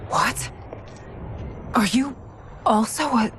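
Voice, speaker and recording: A slow-spoken, regal warrior queen. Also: on the high side, a bit bright, a little hiss or hum. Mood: startled, confused. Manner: formal, heartfelt. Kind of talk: cinematic video game acting. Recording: music behind the voice.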